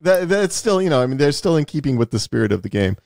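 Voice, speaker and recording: A man's voice: on the high side, sing-song, fast talker, darkish tone, dry recording, studio quality. A man co-hosting a casual film discussion, explaining his points emphatically and often rephrasing them. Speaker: podcast co-host discussing films.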